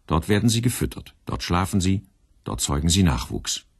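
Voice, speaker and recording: Deep, husky man narrating for radio. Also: big pitch swings, no room sound, studio quality. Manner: deliberate, phrase by phrase. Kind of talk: scripted radio play narration.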